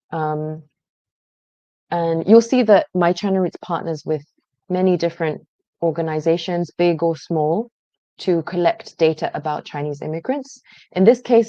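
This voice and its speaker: A woman with a mellow, rather low voice walks viewers through a research database, talking in a relaxed, explanatory way with many small pauses. The sound is dry and a little dull, like a close computer mic. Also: no echo. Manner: explanatory, conversational, frequent "um" pauses. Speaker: genealogy presenter. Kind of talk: screen-share database walkthrough.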